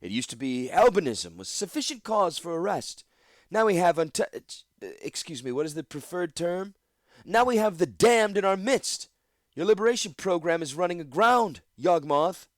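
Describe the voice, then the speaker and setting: A man voicing a stately, haughty elder councilwoman who speaks loudly and imperiously in a raised voice. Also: high-pitched, sing-song, moderate pace, dry recording, studio quality, pitched above the performer's own voice. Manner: loud, imperious.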